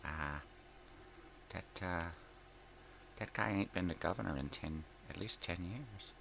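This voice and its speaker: A middle-aged man rambles casually as a vlog host, muttering and repeating himself. His voice is low and gravelly, and he takes his time. There is noticeable noise behind him. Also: unhurried. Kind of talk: rambling casual morning chat. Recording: clear background noise, room ambience.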